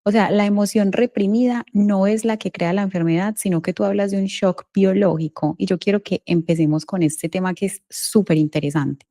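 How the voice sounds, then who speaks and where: This is a Colombian woman interviewing a guest on a podcast. Her voice is mellow and relaxed, sitting at an ordinary pitch for a woman. She talks informally and effusively, as in a friendly conversation. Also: normal speed, hardly any pauses.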